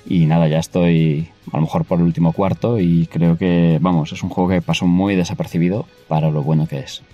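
A man leaves a casual voice message, keenly recommending a game. His voice is very deep and mellow. Faint music plays underneath. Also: fairly even intonation, fairly quick, dull audio. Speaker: listener sending audio.

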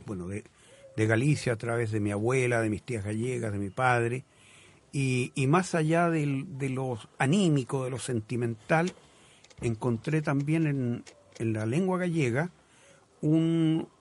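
Chilean man, halting and reflective, dry sound. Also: mid-range voice, a little mellow, dry recording. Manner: halting, searching for words, literary phrasing. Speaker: guest writer on a literary programme. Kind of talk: live radio interview, reflective conversation.

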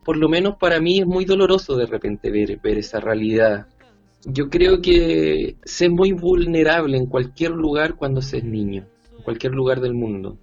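A Chilean man, a musician and music teacher, speaking thoughtfully in an interview with frequent pauses and restarts. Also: a little high, animated, gravelly, darkish tone, muffled recording. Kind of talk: interview about his music.

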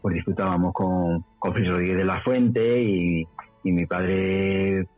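A man's voice: sits low, a little slow, no echo. A middle-aged man from Madrid with a central Spanish accent, telling about his life casually as an interview guest. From Madrid, Spain. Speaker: interview guest, rural mountain dweller. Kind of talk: casual conversational storytelling. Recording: dull lo-fi audio, faint music.

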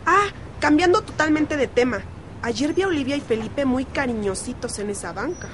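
A woman's voice: high-pitched, animated, moderate pace, a little hiss or hum. An actress playing a fed-up, opinionated teenage girl with a Mexican accent, griping in slang in a radio soap. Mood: gossipy. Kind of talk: radio soap opera acting.

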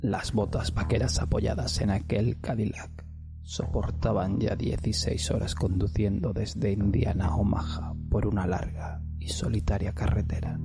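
A man delivers dramatic narration. His tone crackles with fry and drops to a breathy hush at the ends of phrases. Loud guitar and bass music plays behind him. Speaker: podcast host and narrator. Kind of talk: dramatic scripted story narration.

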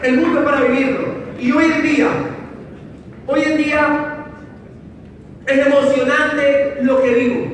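A man with a Venezuelan accent giving an emphatic motivational speech to a live audience, repeating phrases for effect. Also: very high-pitched. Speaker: motivational speaker. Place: large hall with audience, crowd noise behind. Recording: echoey hall, distant mic, noisy crowd.

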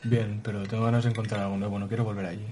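Actor as restless young working-class man, low-key, even pitch, naturalistic film drama, clear dish noise. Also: very fast, close to an everyday voice. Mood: low-key, discontented. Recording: film clip with clear kitchen clatter.

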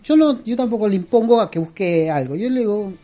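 A man's voice: sits high, lively intonation, fairly quick, warm tone, faint music behind. A middle-aged man from Peru with a Peruvian Spanish accent, a guest chatting in a casual interview, rambling with many fillers and restarts. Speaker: guest, costume and prop maker.

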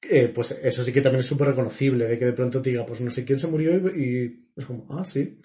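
A young man from La Mancha, Spain, co-hosting a podcast in a casual film chat, talking in a thoughtful, measured way with hesitant fillers. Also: medium pitch, dark tone. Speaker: podcast co-host.

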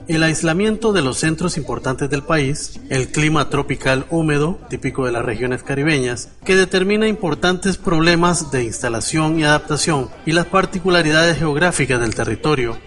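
A man's voice: on the high side, fairly bright tone. A man presenting and reading scripted narration as a radio host. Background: music.

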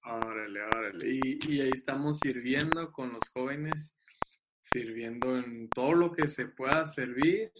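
A young man talks eagerly, laughing easily and doubling back on his words. His voice sits in a middle register. A loud steady hiss runs under the video-call audio. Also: mid-range voice, measured pace. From Mexico. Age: 20s. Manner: enthusiastic, laughs often, repeats words. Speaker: guest. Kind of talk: casual video-call chat.

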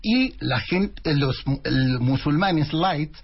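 A man who learned as a later language, a guest on a radio show, explaining slowly with many pauses. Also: on the high side, animated, husky. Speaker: interview guest. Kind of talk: radio interview. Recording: dull lo-fi radio sound.

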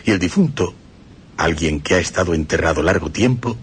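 A man reading an old text aloud on the radio in a grave, deliberate way. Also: low voice, animated, a little hiss or hum. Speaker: reader of a quoted text.

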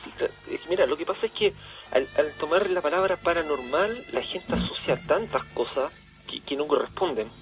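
A Chilean man being interviewed on radio, explaining things conversationally with halting, repeated words. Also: fairly high pitch, expressive. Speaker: interview guest, event organiser. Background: background music.

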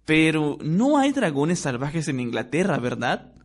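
A man reading a story, voicing a calm, curious young schoolboy. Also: a little high, measured pace, heavily acted.

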